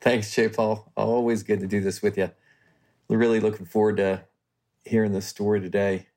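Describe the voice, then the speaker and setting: A man's voice: fairly low pitch, little pitch movement, normal speed, a little mellow. A man co-hosting a podcast interview, reminiscing and asking friendly questions. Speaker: co-host, founder. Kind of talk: casual interview.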